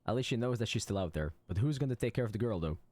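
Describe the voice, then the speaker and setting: A man's voice: lowish voice, lively intonation, racing, a little mellow, dry recording. Casual, sympathetic man commenting on a game. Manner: informal, sympathetic, musing aloud. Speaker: streamer reacting to a game. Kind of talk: casual live playthrough commentary.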